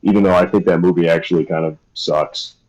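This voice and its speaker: A man's voice: low voice, steady tone, a little fast, a little mellow, lo-fi sound. A young man chatting casually on a live stream, rambling and hesitant with lots of fillers. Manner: rambling, hesitant, self-deprecating. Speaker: streamer and artist.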